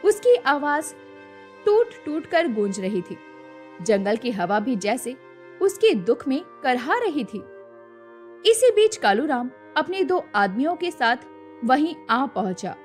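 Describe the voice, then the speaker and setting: धीमी, भावपूर्ण महिला कथावाचक. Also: female voice, high-pitched, very animated, slow pace, faint music behind. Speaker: narrator. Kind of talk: dramatic scripted storytelling.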